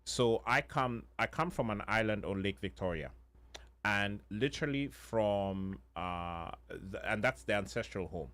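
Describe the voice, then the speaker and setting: A man, a co-host telling a story with many pauses and fillers. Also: lowish voice, lively intonation, a bit bright. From Kenya. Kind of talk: casual conversation.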